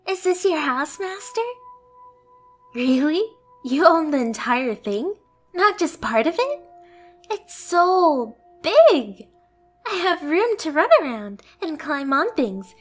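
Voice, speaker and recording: A woman's voice: sits high, heavily acted. A woman voicing a submissive, obsessively devoted stray cat girl in an anime ASMR roleplay, with a girlish, eager, self-deprecating manner. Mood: amazed, excited. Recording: music behind the voice. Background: music.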